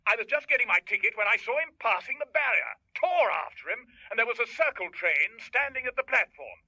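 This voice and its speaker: Flustered major on tinny telephone. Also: male voice, very high voice, big pitch swings, a little fast, pushed and bright, husky, no echo, exaggerated voice. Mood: agitated. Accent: upper-class English. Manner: flustered, hesitant, stammering repeats. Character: flustered, reluctant English army major, gentlemanly and agitated. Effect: telephone filter. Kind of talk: radio drama acting. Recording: tinny, narrow telephone line.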